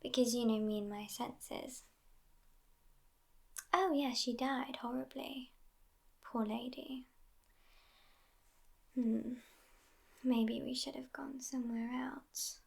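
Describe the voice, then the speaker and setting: A woman playing a sassy, aloof, youthful but centuries-old vampire girlfriend in a soft, near-whispered ASMR roleplay. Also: sits high, fairly even intonation, takes it slowly, gravelly, long pauses, breathy edge, exaggerated voice. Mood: languid, sassy. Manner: languid, aloof, hushed, often near whispering.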